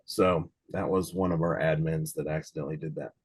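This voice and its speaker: A man with a slightly raspy voice from a cold, running a casual live online study session for an insurance exam and talking in a loose, rambling way with many fillers. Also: rumbling low, steady tone, brisk, no echo. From Cincinnati, USA.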